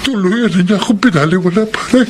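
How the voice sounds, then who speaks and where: A man's voice: high-pitched, a little fast, very noisy, close to an everyday voice. A man playing a despairing, weakening groom abandoned by his bride in a radio drama. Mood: heartbroken. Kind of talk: Tagalog radio drama acting. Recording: loud music bed behind the voice.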